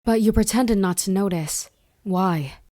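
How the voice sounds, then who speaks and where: A woman's voice: medium pitch, a little slow, mellow, close to an everyday voice. A woman voicing a guarded, blunt young female infiltrator in a fan dub, terse, serious and wary. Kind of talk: anime-style fan dub acting.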